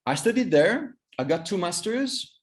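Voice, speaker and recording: A man in his fifties with a French accent, a native Vietnamese speaker, giving an informal training talk as a coach, haltingly and repeating words for emphasis. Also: high-pitched, sing-song. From Vietnam and France. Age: 50s. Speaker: cross-cultural trainer and coach.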